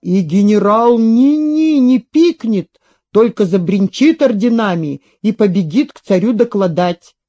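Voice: mid-range voice, expressive, unhurried, warm tone, lo-fi sound. A performer voicing a boastful, pathetic old veteran soldier with rustic, declamatory speech in a story reading. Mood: boastful, loud.

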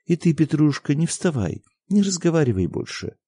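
A man voicing a meek peasant mother who speaks softly and hushes her children. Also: a little high, sing-song, normal speed, breathy edge, no room sound, voice pushed up, exaggerated voice. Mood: hushing. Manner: soft, hushing. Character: meek, tired peasant mother, soft and gentle.

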